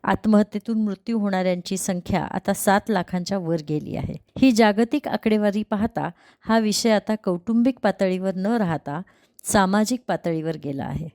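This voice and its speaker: Mellow, dry-recorded woman making an appeal. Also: mid-range voice, normal speed, dry recording. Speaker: helpline organisation spokesperson. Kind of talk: prepared public appeal, read out.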